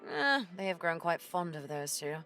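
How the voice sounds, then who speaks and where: A woman's voice: on the low side, sing-song, normal speed, pushed and bright, soft background music. A woman playing a stern, sardonic female pirate captain in an audio drama, commanding and dryly sarcastic. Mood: firm, weary.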